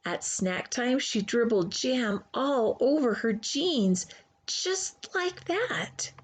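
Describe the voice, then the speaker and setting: A woman reading a children's storybook aloud expressively, with theatrical pauses and hushed emphasis. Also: a little high, lively intonation, husky. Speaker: children's book reader.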